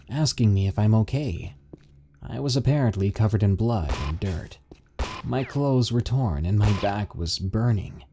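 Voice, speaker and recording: A man reading submitted encounter stories aloud in steady, measured scripted narration. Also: fairly low pitch, a little hiss or hum.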